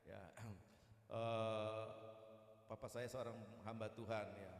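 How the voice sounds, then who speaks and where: A man's voice: lowish voice, level tone, slow. A man, a guest evangelist speaking to a church in a chatty, jocular sermon style. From East Kalimantan, Indonesia. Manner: chatty and jocular, with repeated "gitu" tags. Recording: echoey hall, long reverb.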